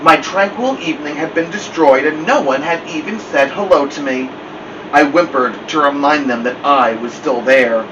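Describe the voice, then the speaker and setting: A man's voice: a little high, normal speed, close to an everyday voice. Man reading as wry old family dog, muffled recording. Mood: dignified, wry. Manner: wry, digressive, formal wording. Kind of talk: first-person storybook narration. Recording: muffled, distant, noisy room hum.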